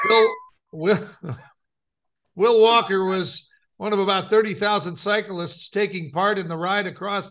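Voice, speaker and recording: A man's voice: sits high, a bit bright. A man co-hosting a radio show, bantering casually and reading out offbeat news.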